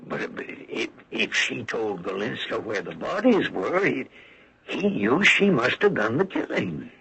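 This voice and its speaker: Flustered, creaky-voiced, very animated official. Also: male voice, mid-range voice, measured pace, a crowd in the background, poor audio. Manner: stammering, indignant, very animated. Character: defensive, flustered career-minded male official under pressure. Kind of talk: 1970s radio mystery acting. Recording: old radio broadcast, faint hiss.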